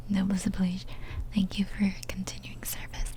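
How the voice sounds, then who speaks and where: A woman ASMR creator whispering a sign-off to her viewers in a breathy voice. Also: medium pitch, completely flat delivery, a little slow.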